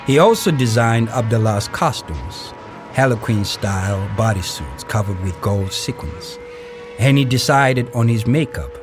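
A man acting as the narrator of an audio play, giving measured, factual, documentary-style narration. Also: lowish voice. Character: sober male documentary-style narrator of a biography.

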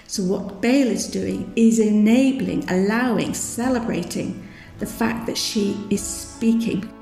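Woman, historian guest, creaky, dark tone, lecturing, roomy sound. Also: mid-range voice, a little slow, faint music behind. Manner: fluent, lecturing, emphatic. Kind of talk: academic interview explanation.

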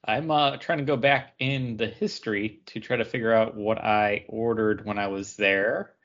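A man co-hosting a podcast in relaxed, informal conversation. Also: on the low side, moderate pace, dull audio. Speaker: podcast co-host. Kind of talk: casual chat.

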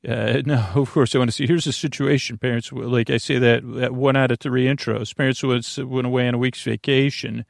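A man hosting a bedtime podcast in a creaky voice, rambling in a lulling, halting, meandering way. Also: medium pitch, steady tone, no echo, studio quality. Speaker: podcast host.

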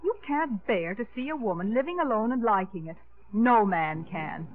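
A woman's voice: mid-range voice, expressive, normal speed, a little hiss or hum, lightly acted. Actress as poised, teasing small-town lady mayor. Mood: amused, teasing. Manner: composed, teasing. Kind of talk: 1950s radio comedy acting. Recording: old radio recording, thin phone-like sound.

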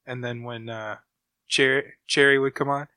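Very bright, sharp-voiced casual man. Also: medium pitch, fairly even intonation, a little slow, clean tone, no echo, studio quality. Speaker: podcast co-host. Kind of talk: casual chat.

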